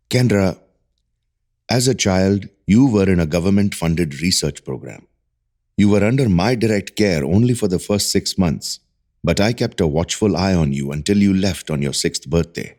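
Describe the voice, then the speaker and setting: A man's voice: deep voice, lively intonation, measured pace, darkish tone. A man playing a grave, secretive research doctor in an audio drama. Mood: grave, explaining.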